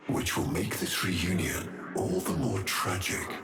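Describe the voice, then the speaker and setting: A man playing a cruel, haughty aristocratic Executor villain in a video game, with theatrical, measured, formal diction and silky menace. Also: sits low, big pitch swings, a loud crowd, exaggerated voice. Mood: cruel, taunting. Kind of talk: dramatic video game character acting. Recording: long echoing hall reverb, some noise.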